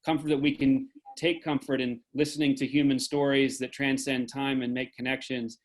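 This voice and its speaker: Guy hosting a video call event, medium pitch, earnest and deliberate closing speech. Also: level tone, rough recording. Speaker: host and moderator. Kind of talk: earnest closing remarks to an online audience.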